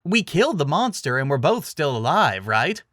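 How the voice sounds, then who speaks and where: A man plays an eager boyish adventurer in a dramatic fantasy story. The voice is raised well above his own, excitable and youthful. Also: fairly high pitch, normal speed, no echo, clean studio sound, pitched above the performer's own voice. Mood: reassuring. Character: eager young male hero, excitable and boyish.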